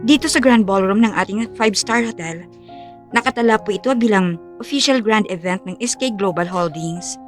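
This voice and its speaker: A woman's voice: mid-range voice, normal speed, faint music behind, natural voice. A woman playing a polite, efficient young assistant in an audio drama, speaking formally.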